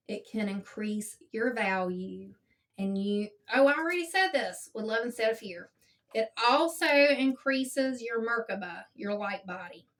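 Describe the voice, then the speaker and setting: A woman with a smooth, soothing voice talks gently and without hurry, often checking in with the listener. She sounds a little distant from the mic. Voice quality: smooth, low-toned soothing voice. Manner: gentle, unhurried, checks in with frequent "okay?". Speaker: spiritual teacher. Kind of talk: casual spiritual self-help talk.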